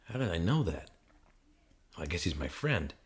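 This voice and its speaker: A man's voice: low-pitched, very animated, normal speed. A man playing a confused, suspicious amnesiac in a film noir audio drama, terse and agitated, both narrating and speaking in scenes. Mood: tense, bewildered. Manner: terse, agitated, muttering to himself.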